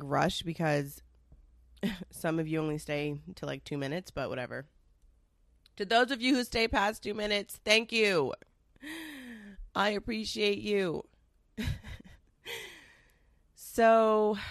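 A woman's voice: mid-range voice, animated, unhurried, creaky, long pauses, dry recording, studio quality. A young woman hosting her own podcast in a chatty, rambling way, full of asides and the odd curse, sounding a little nasal from a cold. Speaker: podcast host. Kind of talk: casual solo podcast chat.

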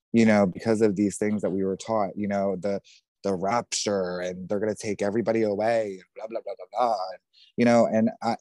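Outspoken New Jersey man, dark-toned voice. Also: fairly low pitch, no room sound. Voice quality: says he has a feminine voice. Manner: outspoken, animated, swearing freely. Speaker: podcast guest. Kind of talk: candid personal storytelling.

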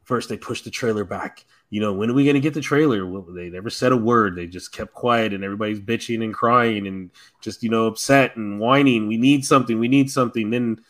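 A man co-hosting a podcast in casual fan chat, telling stories in an animated, slangy and sometimes profane way. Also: on the low side, a little fast, hardly any pauses. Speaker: podcast co-host.

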